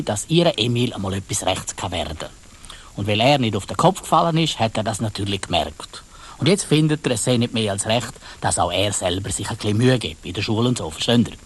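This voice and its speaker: A man's voice: fairly low pitch, expressive, fairly quick, a little hiss or hum. A man with a Swiss German accent narrating a children's story in a colloquial way, addressing his listeners directly.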